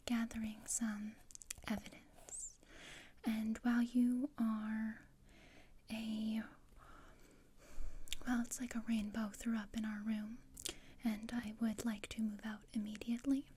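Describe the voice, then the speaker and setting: A woman voicing a gloomy, sardonic teenage outcast girl in a whispered ASMR roleplay, speaking in a deadpan, haughty, breathy whisper. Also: a little high, slow, a little hiss or hum. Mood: cold, grudging.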